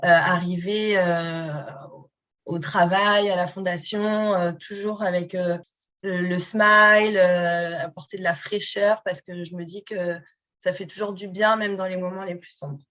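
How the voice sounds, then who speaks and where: A woman's voice: lowish voice, fairly even intonation, a little slow, a bit bright. A woman who works at a foundation, speaking in an upbeat way with frequent hesitations in a podcast chat. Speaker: foundation staff member. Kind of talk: casual podcast conversation.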